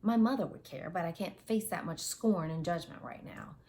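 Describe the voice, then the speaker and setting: A woman's voice: fairly low pitch, animated, moderate pace, mellow, slight background noise. A woman in her forties reading a first-person novel aloud, recorded on earbuds in a home office. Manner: reads aloud with character voices and retakes. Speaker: audiobook reader. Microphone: wireless earbuds.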